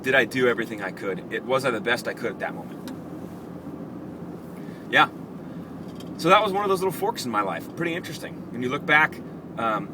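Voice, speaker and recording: A man hosting a casual vlog, telling personal stories in an animated, reflective, conversational way. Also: mid-range voice. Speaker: vlog host. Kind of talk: casual personal storytelling to camera. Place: in a car. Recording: clear vehicle and street noise.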